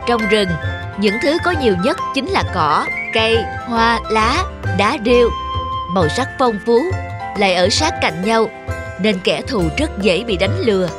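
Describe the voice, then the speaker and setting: High-voiced gentle mother chameleon. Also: animated, measured pace. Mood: patient, teaching. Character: gentle, patient mother chameleon teaching her young. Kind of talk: children's cartoon dialogue. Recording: loud music over the voice.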